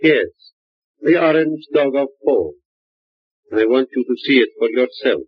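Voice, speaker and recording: An actor playing a suave, scheming male crook in a 1940s radio drama, smooth and menacing. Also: medium pitch, lively intonation, measured pace, no echo. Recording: dull old radio recording.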